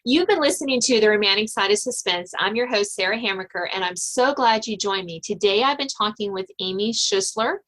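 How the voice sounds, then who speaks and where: Middle aged lady doing a podcast, chatty host talk, normal pitched voice. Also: brisk, few pauses, fairly bright tone. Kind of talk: conversational podcast interview.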